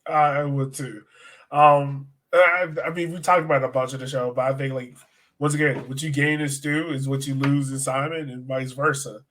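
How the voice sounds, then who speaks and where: A man's voice: medium pitch, little pitch movement, moderate pace, fairly bright tone. A man hosting a sports podcast in casual chat, with a colloquial, buddy-to-buddy way of talking.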